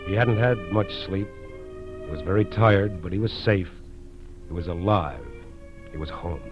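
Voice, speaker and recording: An actor with an American accent as a solemn narrator, delivering dramatic scripted 1940s radio crime narration. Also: male voice, low-pitched, unhurried. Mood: grave, dramatic. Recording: muffled old radio broadcast. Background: dramatic music.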